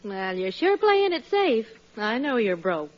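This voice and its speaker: Skeptical sitcom wife, exasperated, lively higher voice, 1940s radio, dull recording. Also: female voice, unhurried.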